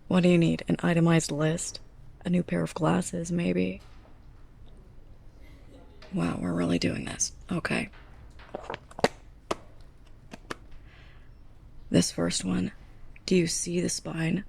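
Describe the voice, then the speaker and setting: A young woman playing a sarcastic, scolding, snobbish librarian's assistant in a close-up ASMR roleplay, breathy and often near a whisper. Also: fairly low pitch, flat delivery, unhurried, long pauses, darkish tone, a little hiss or hum. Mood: exasperated, scolding. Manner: sarcastic, exasperated, pointed delivery.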